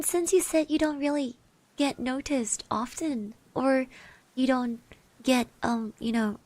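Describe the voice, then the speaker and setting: Woman playing a soft, slow-talking shy schoolgirl classmate. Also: sits high, dry recording. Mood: shy, reassuring. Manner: hesitant, bashful, stammering, reassuring. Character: shy, kind eighteen-year-old girl classmate giving encouragement. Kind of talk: ASMR roleplay. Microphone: close microphone.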